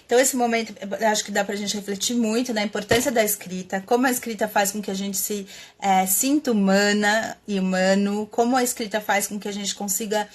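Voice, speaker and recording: Brief: Brazilian woman host, mid-pitched, reflective live talk, halting with fillers. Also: steady tone. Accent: Brazilian Portuguese. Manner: thinking aloud with many fillers and restarts. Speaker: host of a live stream on writing. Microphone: webcam or computer mic, live stream.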